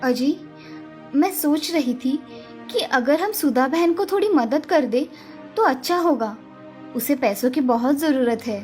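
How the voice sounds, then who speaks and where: High-voiced, kind married village woman, voiced by a narrator. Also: animated, a little slow, a little mellow, soft background music. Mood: caring, earnest.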